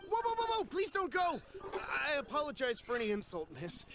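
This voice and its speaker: A man's voice: extremely high pitch, wildly swooping pitch, fairly quick, creaky, background noise, exaggerated voice. An actor playing an anxious, cautious merchant in a video game, polite and wordy as he frets. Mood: pleading. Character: anxious, cautious male merchant buyer of modest means. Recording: game audio with music behind.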